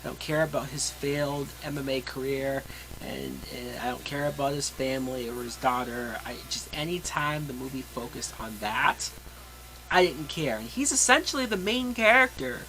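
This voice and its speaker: A man's voice: medium pitch, normal speed, noisy background. A man reviewing a movie in a casual, opinionated way, with emphatic, blunt and sweary delivery. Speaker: film reviewer.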